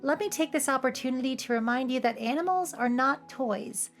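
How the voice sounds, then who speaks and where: Female video essayist, high voice, cheeky scripted commentary with music underneath. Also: brisk. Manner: playful, cheeky asides. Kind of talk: scripted, humorous commentary over cartoon clips. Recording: music behind the voice. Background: background music.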